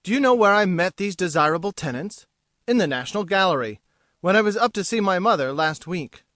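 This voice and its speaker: A woman reading an audiobook, voicing a smug, teasing young gentleman who talks in an arch, lofty way. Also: fairly low pitch, animated, fairly quick, no room sound, clean studio sound. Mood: amused. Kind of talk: audiobook character voice.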